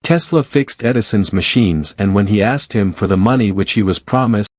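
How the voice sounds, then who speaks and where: A man with a fairly low voice reads a written passage aloud. The recording is very dry, without room sound. It is delivered as a scripted model reading for study. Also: animated, moderate pace, dry recording. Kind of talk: read-aloud of exam practice passage.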